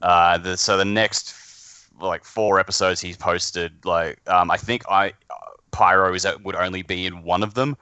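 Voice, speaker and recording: Man, low pitch, forceful bright tone, halting casual talk, dry close sound. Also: fairly even intonation, smooth, dry recording. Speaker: podcast guest and amateur voice actor. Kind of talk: casual chat.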